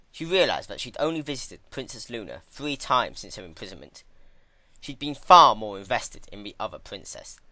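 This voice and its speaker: Male narrator for dramatic fiction: bright, punchy, lively intonation, dry recording. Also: mid-range voice, no room sound. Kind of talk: dramatic fan fiction reading.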